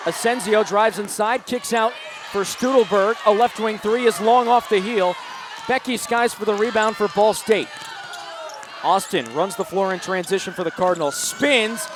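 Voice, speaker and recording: A man's voice: high voice, fairly bright tone. A man in his twenties doing live play-by-play sports commentary in short, punchy announcer phrases. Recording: noisy live venue sound.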